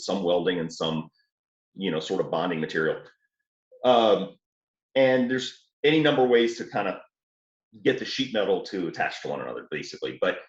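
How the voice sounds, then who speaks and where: A man from New Orleans with a light Southern accent, explaining his Jeep build step by step in a relaxed, casual way. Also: mid-range voice, reverberant, lo-fi sound. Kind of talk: casual technical chat. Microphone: remote call.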